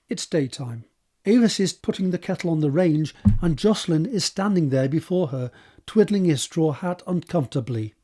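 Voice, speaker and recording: Mellow, husky male narrator. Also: on the high side. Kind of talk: scripted stage-direction narration.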